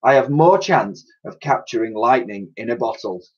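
A man playing a pompous old scientist with a husky voice. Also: mid-range voice, animated, normal speed, a little mellow, highs cut off. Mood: mournful. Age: older. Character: pompous, self-pitying old male scientist.

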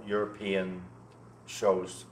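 An older American man in his sixties reminiscing conversationally as an interview guest, halting and often repeating words. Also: sits low, monotone. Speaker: interview guest, loudspeaker maker. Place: indoor show room, seated. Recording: noticeable background noise, room ambience.

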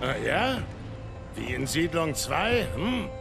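A gruff, boozy soldier uncle from a video game. He drawls slowly and sardonically in a gravelly voice, with music clearly behind him. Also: on the high side, sing-song, heavily acted. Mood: sardonic. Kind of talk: German video game dub acting.

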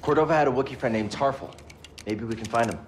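Man playing a quick, earnest young hero. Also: mid-range voice, slight background noise, lightly acted. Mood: determined. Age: young adult. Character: earnest, determined young man, Jedi adventurer. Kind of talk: video game voice acting.

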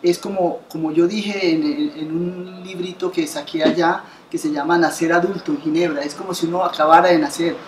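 A woman's voice: fairly low pitch, steady tone, a little fast, hardly any pauses, background noise. A woman in her fifties from Colombia, telling her story as an interview guest in earnest conversational talk with many restarts. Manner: earnest, many restarts and repeated words, gestures while speaking. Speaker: interview guest, association founder. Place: bright living room by a window, indoors. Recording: roomy living room sound.

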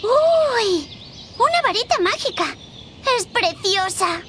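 An innocent young princess in an animated game. The voice is extremely high and lively, polite and wondering, with clear ambient noise behind it. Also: female voice, takes it slowly, full character voice. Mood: curious, eager. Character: innocent, wide-eyed little princess girl. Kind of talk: animated game character dialogue.

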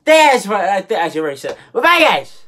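A woman hosting a comedy show with casual, sarcastic reaction commentary, exasperated and halting as she repeats herself. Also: mid-range voice, big pitch swings. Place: small indoor room full of collectibles.

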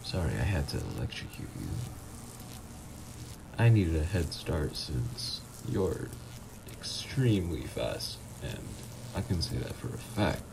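Man acting as a slow, deep, smug villain ex. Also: very animated, gravelly, background noise, heavily acted. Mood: flirty, taunting. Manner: smug, drawn-out, intimate teasing. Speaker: voice actor. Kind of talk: flirty ASMR roleplay acting.

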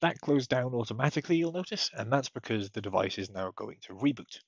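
A man narrating a step-by-step software tutorial in a methodical, explanatory way. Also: mid-range voice, expressive, fast talker, slightly rough, no echo, muffled recording. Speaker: technical support tutorial presenter.

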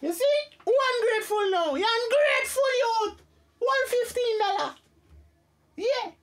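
A man's voice: very high voice, expressive, a little slow, heavy background noise. A man playing a cocky, aggrieved Jamaican street hustler in a comic radio drama, argumentative and repetitive.

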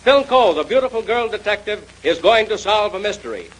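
A man's voice: high voice, lively intonation, brisk, slight background noise, poor audio. A man with an American accent announcing and narrating in 1930s radio style.

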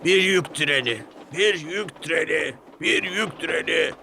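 A man's voice: on the high side, slow, creaky, noisy background, voice pushed up. A man voicing a big, boastful, grumbling steam locomotive in a children's story. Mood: indignant grumbling. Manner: pompous, grumbling, repeats himself. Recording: music behind the voice.

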